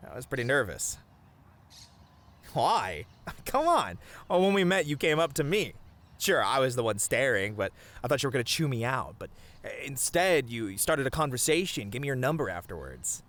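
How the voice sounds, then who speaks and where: A man playing a sweet, expressive bashful boyfriend. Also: mid-range voice. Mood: fond, bashful. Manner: soft-hearted, halting, sighing, chuckling, gently earnest. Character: sweet, bashful young boyfriend, tender and adoring. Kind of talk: romantic ASMR roleplay monologue to the listener.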